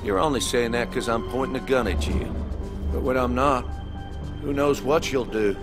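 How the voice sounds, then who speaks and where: An actor playing a corrupt cop turned criminal, taunting and deliberate with a folksy edge, in a video game. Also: male voice, mid-range voice, close to an everyday voice. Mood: menacing, scornful. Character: corrupt cop turned criminal, menacing, mocking, cornering his hostages. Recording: dramatic music behind.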